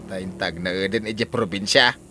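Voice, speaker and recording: A man's voice: low voice, moderate pace, over music, natural voice. A man playing a stubborn, grumbling husband in an audio drama, with an Ilocano accent. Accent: Ilocano Filipino.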